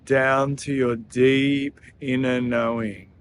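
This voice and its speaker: A man leading a guided breathing meditation in a soothing, gentle manner, leaving long pauses between phrases. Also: medium pitch, little pitch movement, slight background noise.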